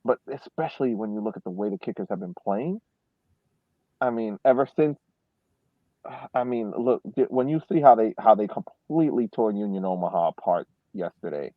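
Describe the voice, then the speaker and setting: A man giving casual sports analysis on a podcast, building his points deliberately over a thin call connection. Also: on the low side, animated, no room sound. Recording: dry, thin phone-like line.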